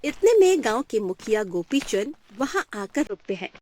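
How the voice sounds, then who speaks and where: A woman's voice: fairly high pitch, sing-song, normal speed, mellow. A woman giving scripted storybook narration for a cartoon, as the narrator of a moral tale.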